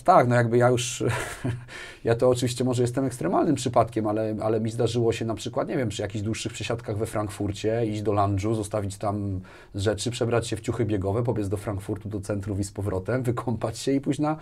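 A man's voice: on the low side, steady tone, brisk, a little mellow. Man in conversational interview, rambling. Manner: rambling, repeats words, often ends phrases with a questioning tag. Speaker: interview guest, corporate manager. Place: small furnished studio, indoors. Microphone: lapel mic.

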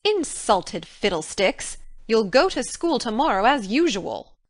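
A woman's voice: medium pitch, animated, fairly bright tone, no echo. Woman playing a stern, dry-sounding older guardian. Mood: sharp dismissal. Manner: brisk, no-nonsense. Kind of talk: audiobook character dialogue.

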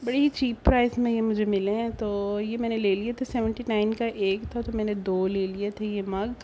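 Woman, Pakistani accent, mellow tone, chatty casual vlog narration, background noise. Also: mid-range voice, fairly even intonation, normal speed, lo-fi sound. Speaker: vlogger.

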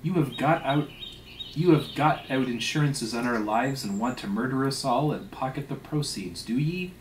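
A man's voice: medium pitch, very animated, moderate pace, reverberant, distant mic, a little hiss or hum, close to an everyday voice. A man reading a storybook sea tale as an indignant, sarcastic ship's mate who scolds in emphatic, mock-polite rhetorical questions. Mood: blustering, scolding. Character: indignant, sarcastic male whaling second mate, suspicious and blustering. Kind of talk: dramatic reading of a classic sea novel.

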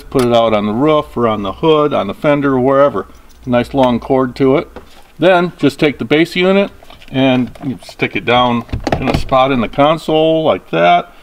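A man in his fifties with an American accent, explaining a DIY install step by step in a plain-spoken, conversational way. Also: mid-range voice. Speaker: host of a DIY vehicle install channel. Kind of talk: hands-on tutorial explanation, conversational.

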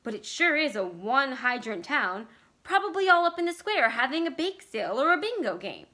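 A stubborn, exasperated husband from a story, read by a woman. The delivery is forceful and argumentative, pitched fairly high with a bright edge. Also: sing-song, a little fast, exaggerated voice. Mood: dismissive. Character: exasperated, stubborn husband arguing with his wife.

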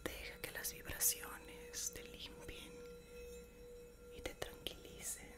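A woman in her twenties speaks in a breathy, unvoiced whisper right up against the microphone. Her delivery is very slow and soothing, guiding the listener through an ASMR meditation with gentle instructions. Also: extremely high pitch, huge pitch swings. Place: small bedroom, indoors. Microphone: desk condenser microphone, very close.

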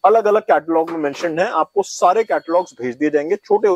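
Polite man pitching shoes in a showroom. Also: brisk. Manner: polite salesman patter, repeats selling points. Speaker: shoe factory representative. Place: shoe showroom and warehouse, indoors. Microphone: clip-on lapel mic.

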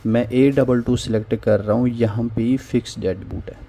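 A man's voice: medium pitch, steady tone, moderate pace, mellow. A man casually walking viewers through a phone repair tool on screen, often saying "theek hai" between steps. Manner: informal, repeats "theek hai" as a filler. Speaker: phone repair tutorial host. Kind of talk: casual screen-recorded software walkthrough. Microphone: voice-over on screen recording.

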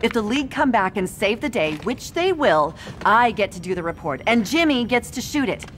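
A determined newspaper reporter for a video game. She argues briskly in a slightly high voice. Some noise sits in the background. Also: big pitch swings. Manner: brisk, argumentative.

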